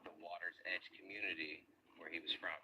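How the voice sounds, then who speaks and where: A low-voiced man talks through a search plan in a steady, matter-of-fact way, recorded on location outdoors. Clear background noise and ambience sit around his voice from the field recording. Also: a little fast. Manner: matter-of-fact, methodical explaining. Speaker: volunteer dive team leader. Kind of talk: on-location documentary explanation. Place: outdoors at ponds and roads. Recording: noisy outdoor location audio.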